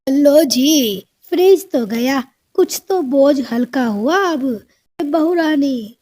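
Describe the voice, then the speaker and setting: A woman's voice: high voice, animated, takes it slowly, warm tone. A woman voicing a put-upon old crow mother-in-law in a cartoon, complaining and indignant. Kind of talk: cartoon story dialogue.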